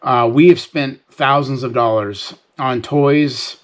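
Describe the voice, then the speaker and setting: A man with a mid-pitched voice speaks casually and off the cuff as the host of a reseller vlog. He explains things conversationally, with folksy turns of phrase. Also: steady tone, takes it slowly. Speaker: reseller hosting a sales recap vlog. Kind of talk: casual unscripted talk to viewers.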